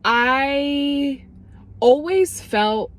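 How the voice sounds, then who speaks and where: A young woman from Ethiopia, talking to camera in a casual, emotional way, full of fillers and quick asides as she gets excited. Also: sits high, no room sound. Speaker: vlogger.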